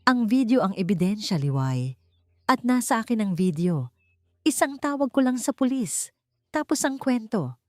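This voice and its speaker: A woman voicing a menacing male restaurant boss in an audiobook, calm, chilling and taunting. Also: fairly high pitch, normal speed, dry recording, clean studio sound, voice pushed up. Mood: smug threat.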